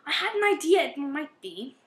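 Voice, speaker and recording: A woman playing a stern, insistent police inspector with a measured, firm delivery in an amateur radio play. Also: high voice, very animated.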